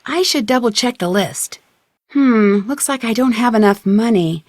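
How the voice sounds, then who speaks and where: An actress playing a polite, inquisitive young woman detective in a video game, speaking in a clear, friendly, conversational way. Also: fairly high pitch, animated, a little slow, darkish tone, no echo, studio quality. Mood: curious. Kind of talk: video game dialogue acting, isolated conversational lines.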